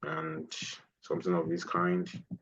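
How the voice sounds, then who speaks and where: A man's voice: sits low, monotone, unhurried, a bit bright, lo-fi sound. A man teaching a live online trading lesson, explaining a price chart and repeating phrases as he points things out. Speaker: trading instructor.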